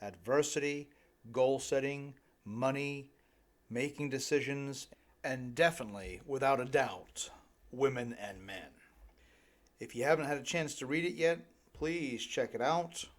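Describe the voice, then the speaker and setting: A middle-aged man reads his own audiobook at a leisurely pace. His pitch is average for a male voice. The scripted narration is lightly humorous and tongue-in-cheek, aimed directly at the listener. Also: measured pace. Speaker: author reading own audiobook.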